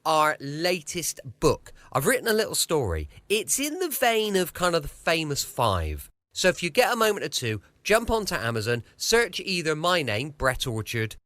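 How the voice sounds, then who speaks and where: A man's voice: fairly high pitch, huge pitch swings, normal speed, hardly any pauses, a bit bright, no room sound, studio quality. A British man hosting a podcast, introducing it in a chatty, informal and jokey way. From southwest of the United Kingdom. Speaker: podcast host.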